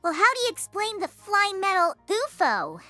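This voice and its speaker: Naive, excitable cartoon filly: extremely high, swooping, drawn-out delivery, music behind. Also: female voice, full character voice. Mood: excited, curious. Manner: childlike, animated. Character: excitable, naive young female pony. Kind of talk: dramatised fan fiction reading.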